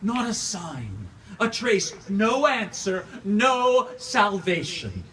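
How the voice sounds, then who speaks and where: Far off mic, guy doing a heartbroken old vampire count, californian accent, slow dramatic stage monologue, bitter and anguished. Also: sits high, wildly swooping pitch, sharp tone, heavily acted. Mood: mournful, weary. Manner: theatrical, declamatory, anguished, archaic poetic phrasing. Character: grieving, embittered centuries-old vampire count, lovelorn, raging at God. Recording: distant live room recording, faint noise.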